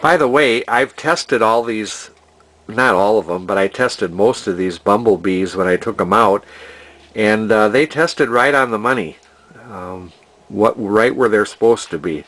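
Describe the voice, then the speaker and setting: A man's voice: fairly low pitch, a little slow, fairly bright tone. An older man speaks. He sounds like a hobbyist explaining his restoration work off the cuff. His delivery is casual and rambling, with hesitations as he thinks aloud. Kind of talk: casual unscripted repair narration.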